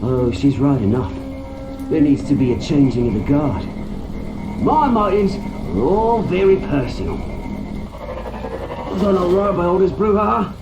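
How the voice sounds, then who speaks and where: British game villain, sneering and taunting, vengeful crime lord, highly animated pitch, echoey, loud music underneath. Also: male voice, measured pace, long pauses. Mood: menacing glee. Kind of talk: dramatic video game voice acting.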